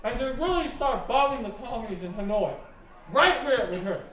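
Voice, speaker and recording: A man's voice: very high-pitched, animated, a little fast, a bit bright. An actor playing an indignant, conservative American father who rants in a declamatory way on stage. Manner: ranting, declamatory. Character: gruff, indignant conservative middle-aged American father and husband. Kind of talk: stage acting in a one-act play. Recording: echoey stage recording, distant mic.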